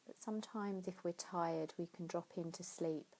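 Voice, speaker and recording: A woman leading a guided sleep meditation in a soothing, unhurried way, pausing between phrases. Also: on the low side, steady tone, a little mellow. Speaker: yoga nidra meditation teacher.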